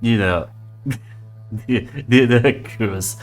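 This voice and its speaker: A man's voice: mid-range voice, slow, soft background music, natural voice. A man playing a crude, scruffy young street drunk who swears, scoffs and rambles. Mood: scoffing.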